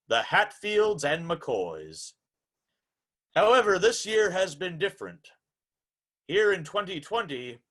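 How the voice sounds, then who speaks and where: A man's voice: on the high side, fairly bright tone, exaggerated voice. A man playing a formal, polite old-time radio hour host, announcing in a theatrical, scripted showman's style. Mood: proud, explaining.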